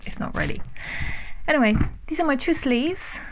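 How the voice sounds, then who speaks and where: A woman's voice: high-pitched, animated, moderate pace, noisy background. A young woman, a native Russian speaker from Ukraine, chatting casually on her sewing vlog and thinking aloud with fillers and restarts. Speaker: sewing vlogger.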